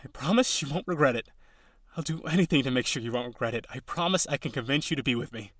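A man playing a tender, insecure young male harpy in an intimate audio roleplay, halting and pleading as he speaks. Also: medium pitch, a bit bright, no room sound, clean studio sound, lightly acted. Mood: elated, grateful.

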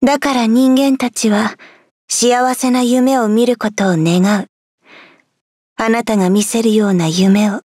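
A woman's voice: a little high, fairly even intonation, brisk, darkish tone, no echo, clean studio sound, lightly acted. A woman voicing a cool, aloof young nightmare fairy in an anime-style game, composed and reserved.

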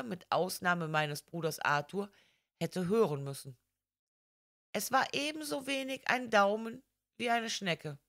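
A woman narrates a classic crime story in a medium-pitched voice. She reads from a script as an audiobook narrator, with measured, expressive delivery.

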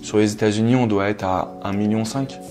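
A man in his thirties from France, a love coach talking business as a guest, relaxed and sure of himself, often filling pauses with "euh" and "tu vois". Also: on the low side, music in the background. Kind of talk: casual interview chat. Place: bright living room, indoors, on a sofa.

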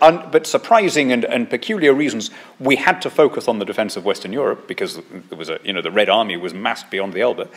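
Husky-voiced British man on a panel. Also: medium pitch, a bit bright. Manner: fluent, expansive, with many restarts. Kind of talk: panel discussion talk.

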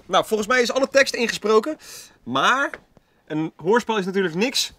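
A man's voice: high-pitched, expressive, normal speed. A man in his twenties with a Netherlandic Dutch accent, hosting an online show in casual chat. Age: 20s. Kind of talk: casual banter and explaining. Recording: loud music behind the voice.

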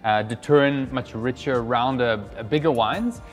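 A middle-aged man, speaking as a wine specialist in a measured way with frequent hesitant fillers, standing in a decorated indoor room. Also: mid-range voice, faint music behind. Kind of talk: conversational presentation.

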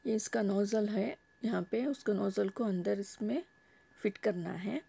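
A woman's voice: mid-range voice, a little slow, creaky, mellow, slightly rough. A woman vlogger casually narrating an unboxing at home, explaining each step in a homely way. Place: home, table top.

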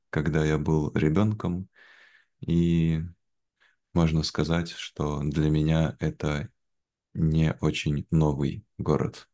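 A young man from Veliky Novgorod in Russia, speaking in simple, measured, clearly phrased conversation for language learners on a video call. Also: very deep voice, mellow. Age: young adult. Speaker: interview guest, language teacher. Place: indoor room, video call. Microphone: video call, wearing headphones.

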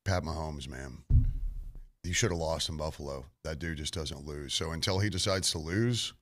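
A man's voice: extremely low pitch, moderate pace, fairly bright tone. A man co-hosting a sports radio show, talking in a heated, rambling, opinionated way.

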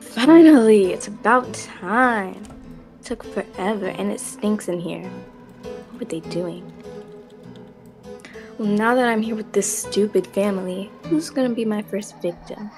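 Woman as a slow, menacing possessed doll. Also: mid-range voice, darkish tone, soft background music, lightly acted. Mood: disdainful, menacing. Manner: drawling, disdainful muttering to herself. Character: possessed antique doll, menacing, sulky female killer. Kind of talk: amateur voiced roleplay horror parody.

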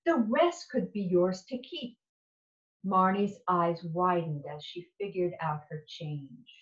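A woman reading a children's picture book aloud in an expressive, playful and deliberate way. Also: on the low side. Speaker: storybook reader. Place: indoor room at home. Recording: echoey room, dull lo-fi sound.

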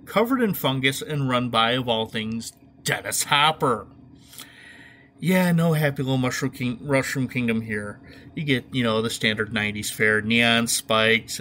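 Middle aged guy doing a casual funny movie podcast, bright punchy voice, wry and full of opinions. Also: medium pitch, a little hiss or hum. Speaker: podcast host. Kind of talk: casual comedic movie review commentary.

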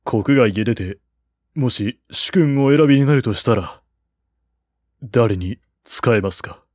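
A man's voice: mid-range voice, unhurried, a little mellow, no echo, lightly acted. A man acting a loyal male advisor, courteous, pleading, formal, dry recording, audiobook. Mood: apologetic. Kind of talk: audiobook character voice.

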